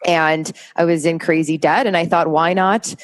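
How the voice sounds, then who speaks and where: A woman's voice: on the low side, fairly even intonation, normal speed, long reverb tail. A young woman with a Chicago accent, a business coach guest speaking in a chatty, candid way. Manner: chatty, candid, laughs easily. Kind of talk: casual interview.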